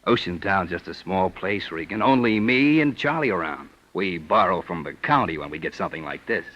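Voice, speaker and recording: An actor playing a wry, sardonic small-town police captain who needles a suspect, in a vintage radio detective drama. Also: male voice, deep voice, lively intonation, brisk, a bit bright. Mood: smug, firm. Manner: drawling, sardonic, calls the suspect son. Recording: dull vintage radio recording.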